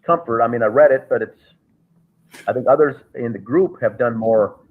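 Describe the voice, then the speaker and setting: A man, a guest on a call, recounting events in a measured, halting way with frequent restarts. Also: medium pitch. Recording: tinny phone-like call audio.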